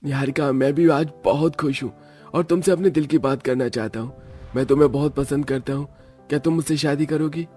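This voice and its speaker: A man voicing a kind, reassuring young student suitor in a cartoon story, gentle and earnest. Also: fairly high pitch, steady tone, brisk, husky, soft background music. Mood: happy, tender.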